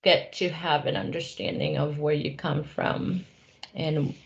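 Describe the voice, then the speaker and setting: A woman's voice: deep voice, steady tone, unhurried, vocal fry. A woman informally interviewing a guest over a video call, as the host of the conversation. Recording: dull, lo-fi online call audio.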